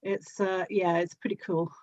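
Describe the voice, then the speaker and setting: A woman's voice: fairly low pitch, flat delivery, normal speed, a little mellow, dull audio. A woman from South London with a South London accent, chatting casually as an interview guest. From South London, England. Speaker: interview guest, audio drama actor and producer.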